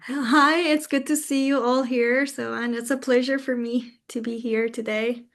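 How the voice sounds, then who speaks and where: High voiced woman from iran, careful and measured on a call. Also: fairly even intonation, a bit bright. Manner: measured, with hesitant uhs. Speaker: assistant professor of design. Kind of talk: workshop presentation. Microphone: video call.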